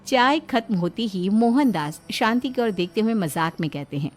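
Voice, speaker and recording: Lively woman storyteller. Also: medium pitch, moderate pace. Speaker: narrator. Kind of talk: scripted storytelling narration.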